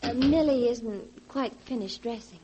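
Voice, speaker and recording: A woman's voice: on the high side, unhurried, dull audio, natural voice. A woman playing a sensible, protective young woman in a 1940s radio drama, composed and earnest. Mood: polite. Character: sensible, protective, grave young woman, elder-sister type. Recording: noisy vintage radio recording.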